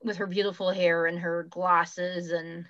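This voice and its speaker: A woman's voice: fairly low pitch, flat delivery, a little slow, fairly bright tone, no echo, lo-fi sound. A woman from Canada with a Canadian accent, co-hosting a podcast in casual chat, blunt and swearing freely. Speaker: podcast co-host.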